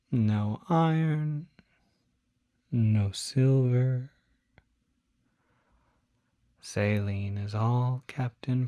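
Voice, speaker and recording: Slow, soft demon doctor, acted by a man. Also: fairly low pitch, no echo, studio quality. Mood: calm, reassuring. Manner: gentle, measured, politely formal bedside manner, often dropping to a whisper. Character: courteous, soft-spoken male demon doctor, fussy and reassuring. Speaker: doctor at a supernatural clinic. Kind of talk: ASMR roleplay.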